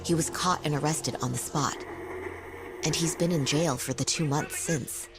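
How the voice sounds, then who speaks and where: A woman playing a serious, composed defense attorney with a measured, businesslike manner in a video game cutscene. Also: low-pitched, close to an everyday voice. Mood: analytical. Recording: game music under the voice. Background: music.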